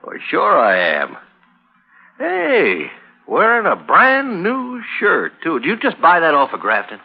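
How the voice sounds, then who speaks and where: A man's voice: sits high, huge pitch swings, vocal fry, slightly rough, heavily acted. A man playing a sneering saloon bully who mocks and taunts in an old radio western. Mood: taunting. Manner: drawn-out mocking delivery. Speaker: radio drama actor. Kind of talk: 1950s radio western acting. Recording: noisy, muffled old radio broadcast.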